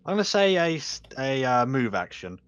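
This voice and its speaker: A man's voice: mid-range voice, big pitch swings, normal speed, smooth. A man running a tabletop game as game master, explaining rules with frequent restarts and corrections. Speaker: dungeon master.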